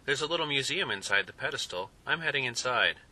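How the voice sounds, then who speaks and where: A man's voice: mid-range voice, lively intonation, fast, sharp tone, voice pushed up, low-quality recording. A man voicing an easygoing traveling photographer who speaks plainly. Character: casual, focused traveling photographer.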